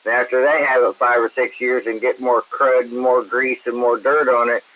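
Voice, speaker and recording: An American man talks over a CB radio in a medium-pitched voice. His delivery is casual and chatty, with a joking style. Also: fairly even intonation, normal speed, a bit bright, a little hiss or hum, low-quality recording. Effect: CB radio transmission. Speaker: CB radio operator.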